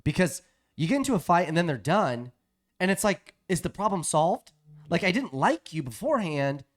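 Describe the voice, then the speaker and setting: A man co-hosting a casual podcast chat, quick to argue back and pick apart his partner's points. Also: high voice, very animated. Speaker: podcast co-host.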